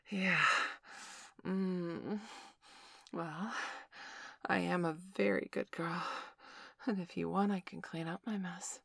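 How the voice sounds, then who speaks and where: Female performer as a commanding, teasing adult heroine interrogator; breathy, unhurried and husky-low. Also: fairly even intonation, a bit bright, no echo, heavily acted. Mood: teasing, smug. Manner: breathy whispering, moaning, slow and sultry. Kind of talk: erotic audio roleplay.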